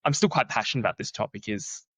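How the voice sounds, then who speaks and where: A young man who is a researcher, talking in a chatty, animated way and filling his sentences with "like.". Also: quick pace, no room sound. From Australia. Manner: chatty, many "like" fillers, lively swings in pitch. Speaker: researcher, podcast guest. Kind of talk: casual conversational interview.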